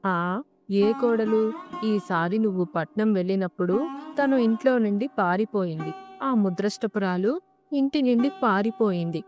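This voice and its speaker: A woman's voice: medium pitch, normal speed, darkish tone, natural voice. A woman voicing a cruel older mother-in-law in a cartoon moral story, harsh and commanding, with music behind her. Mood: sly deceit.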